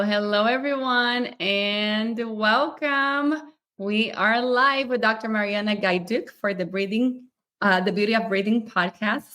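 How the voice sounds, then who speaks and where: A woman hosting a live podcast interview, upbeat and talking quickly in long runs. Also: mid-range voice, a bit bright. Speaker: podcast host.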